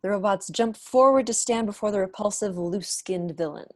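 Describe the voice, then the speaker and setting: A woman's voice: mid-range voice, brisk, no echo, studio quality. A young woman reading stage directions as scripted narration for an online table read. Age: young adult. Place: room at home, on a video call.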